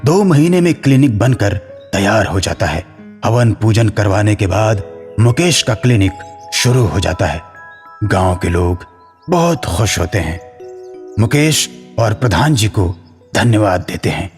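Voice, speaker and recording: A man's voice: lowish voice, expressive, measured pace, a little mellow, in a large hall, faint music behind. A man giving scripted narration for a horror story.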